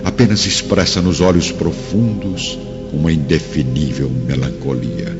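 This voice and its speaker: Very deep Brazilian man narrating solemnly. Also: measured pace, long reverb tail, muffled recording, loud music behind the voice. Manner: solemn, dramatic storytelling. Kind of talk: scripted radio drama narration.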